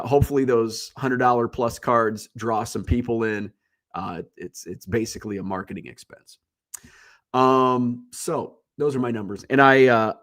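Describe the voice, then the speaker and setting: Congested man rambling bluntly. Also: medium pitch. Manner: blunt, rambling, swears freely. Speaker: livestream host. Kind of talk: casual solo chat.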